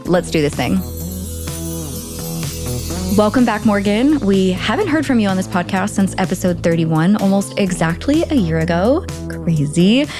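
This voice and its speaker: A woman's voice: medium pitch, expressive, normal speed, music in the background. A woman in her thirties hosting a podcast in casual, playful chat, often laughing. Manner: giggly, playful, chatty. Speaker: podcast host. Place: Paris.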